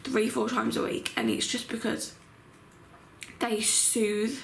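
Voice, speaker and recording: A young, heavily pregnant woman chatting casually to her camera at home, full of filler words, her voice croaky. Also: fairly high pitch, fairly even intonation, slow, slight background noise, low-quality recording. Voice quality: croaky morning voice. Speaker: vlogger. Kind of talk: casual vlog chat. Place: home bedroom.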